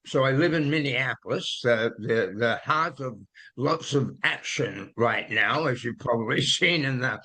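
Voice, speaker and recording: A man in his eighties, born in London and raised in Australia, with an Australian accent, gently telling personal stories as an interview guest with many hesitant fillers. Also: mid-range voice, unhurried, slightly rough. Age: 80s. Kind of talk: reflective conversational storytelling.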